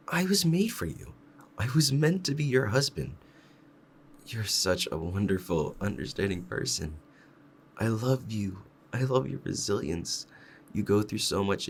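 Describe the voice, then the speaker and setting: Man acting a deep-voiced, doting obsessive fox-spirit husband. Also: vocal fry, a little hiss or hum. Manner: soft, clingy, adoring, hesitant. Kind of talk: intimate ASMR roleplay addressed to the listener.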